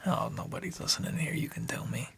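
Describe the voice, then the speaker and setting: A man's voice: animated, fast, a bit bright, full character voice. A man playing a friendly, nosy bartender in an ASMR noir radio drama, talking in a hesitant, chatty half-whisper full of uhs. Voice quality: gravelly low whisper.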